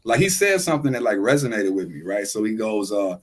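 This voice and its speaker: A man's voice: fairly low pitch, very animated, brisk, breathy edge. Rambling man in his forties. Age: 40s. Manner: rambling, full of fillers and "right?" tag questions. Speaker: podcast guest, rapper. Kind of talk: casual chat.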